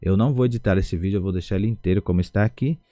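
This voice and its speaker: A man with a Brazilian Portuguese accent teaching an online language lesson, slowly repeating each word syllable by syllable. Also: deep voice, warm tone, dry recording, lo-fi sound.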